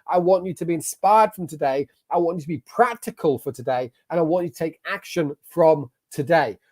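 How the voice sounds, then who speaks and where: Upbeat English man, fairly high voice. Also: a little fast, a little mellow. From England. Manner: emphatic, motivational. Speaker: business coach. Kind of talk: online masterclass presentation. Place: at a computer sharing his screen.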